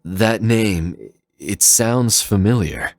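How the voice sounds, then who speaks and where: A man voicing an amnesiac android warrior who sounds bewildered, gentle and sincere, hesitant and wondering, in a dramatic fan fiction reading. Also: lowish voice, animated, slow, dry recording, pitched above the performer's own voice. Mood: puzzled.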